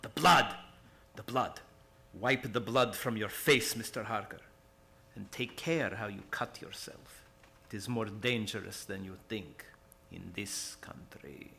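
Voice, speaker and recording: Menacing vampire lord, deep-set pitch, heavy creak, formal, suavely sinister, radio play. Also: male voice, unhurried. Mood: agitated, warning. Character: menacing courtly vampire count, old castle lord. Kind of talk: old-time radio horror play acting.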